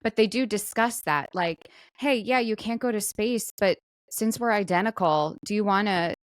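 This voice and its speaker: A woman in casual co-host banter, with a middling pitch and a very dry recording free of room sound. She talks about herself in a self-deprecating, conversational way. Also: normal speed, no echo. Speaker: podcast co-host; stage actor.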